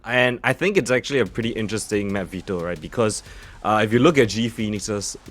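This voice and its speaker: A young man from Singapore with a Singaporean English accent, giving relaxed esports desk analysis full of fillers. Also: on the low side, fast talker. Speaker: esports caster.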